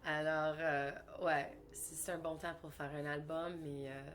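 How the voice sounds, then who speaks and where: A woman's voice: low voice, flat delivery, unhurried, slight background noise. A woman with a Canadian French accent, a singer-songwriter answering interview questions casually, hesitating often and slipping English words into her French. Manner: hesitant, many fillers and restarts.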